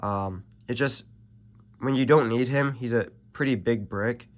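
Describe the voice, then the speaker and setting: A man's voice: medium pitch, unhurried. Nasal young man with allergies. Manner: rambling, many ums and self-corrections. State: stuffy from allergies. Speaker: card game channel host. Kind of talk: casual deck profile walkthrough.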